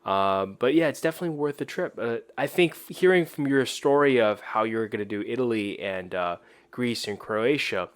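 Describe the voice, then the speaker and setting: A man's voice: mid-range voice, normal speed, clear, resonant tone. A man born in China chatting casually on a podcast in an anecdotal way, repeating words for emphasis. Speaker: podcast participant.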